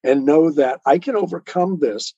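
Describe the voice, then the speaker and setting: A man speaking as an interview guest, explaining his ideas in a measured, earnest way and repeating phrases for emphasis. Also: on the high side, dark tone, breathy edge, no echo, lo-fi sound. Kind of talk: earnest explanatory interview talk on self-help and faith.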